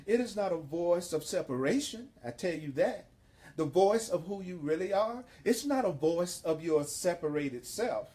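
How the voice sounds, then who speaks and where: Male spiritual teacher, very unhurried and relaxed in tone, sermon delivery, emphatic and repetitive phrasing. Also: sits high. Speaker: spiritual teacher leading a course.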